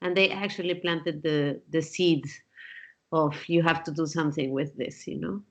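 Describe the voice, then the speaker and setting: A woman's voice: low-pitched, fairly even intonation, normal speed. Peruvian woman guest, muffled audio. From Peru. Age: 40s. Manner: thoughtful, with frequent fillers and restarts. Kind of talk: casual interview answers. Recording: dull lo-fi sound.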